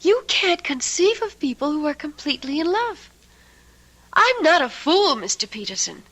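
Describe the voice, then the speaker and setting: Bright, high-voiced devoted wife. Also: female voice, big pitch swings, moderate pace, full character voice. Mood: defensive, earnest. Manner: emotional, earnest, emphatic. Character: devoted, anxious young wife, stubbornly insistent and proud. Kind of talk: old-time radio drama acting.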